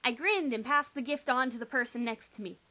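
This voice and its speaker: Bright-voiced young woman reading theatrically. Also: sits high, brisk. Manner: exaggerated, sarcastic, theatrical emphasis. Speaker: amateur reader. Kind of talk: dramatic reading of a first-person teen novel.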